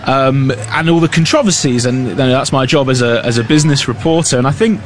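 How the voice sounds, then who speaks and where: A man's voice: mid-range voice, a little fast, soft background music. A man reporting live on business news, talking loosely with fillers and restarts. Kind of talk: live news cross, conversational.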